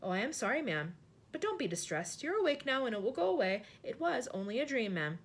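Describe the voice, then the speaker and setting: A woman's voice: on the high side, big pitch swings, brisk, slightly rough, exaggerated voice. A woman voicing an older, deferential maid who speaks in a hesitant, quivering, polite way. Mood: soothing.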